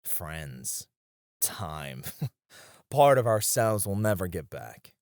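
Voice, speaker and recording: A man playing a battle-weary knight in a romantic ASMR roleplay, speaking softly and intimately with tender, wistful devotion to a comrade. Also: low-pitched, very animated, very slow, dry recording, clean studio sound, full character voice. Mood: earnest, tender. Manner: soft, intimate, hesitant with small chuckles.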